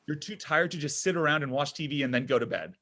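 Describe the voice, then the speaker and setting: A man's voice: fairly high pitch, fast talker, bright, forceful tone. An actor reading the part of William, an anxious, awkward young man, at a table read of a comedy script. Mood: pleading. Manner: nervous, fidgety delivery with awkward laughs.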